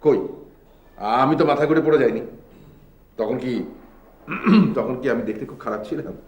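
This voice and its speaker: An actor playing a coaxing, easily exasperated married brother figure in a television drama. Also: male voice, medium pitch, moderate pace, a little mellow, natural voice. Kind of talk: TV drama acting. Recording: echoey room.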